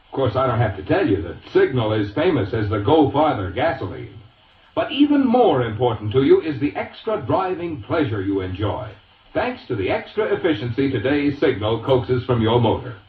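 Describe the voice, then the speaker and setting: A man's voice: medium pitch, roomy sound, narrow, tinny audio. An American man announcing commercials in a polished, emphatic 1940s radio sales style. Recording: boxy, band-limited 1940s radio recording, distant.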